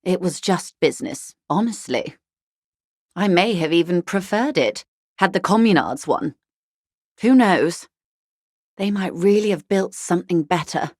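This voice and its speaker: An actress playing a refined, worldly female negotiator in a video game, with polished, measured, wryly courteous delivery and quiet menace. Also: fairly low pitch, dry recording, clean studio sound. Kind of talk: video game character dialogue.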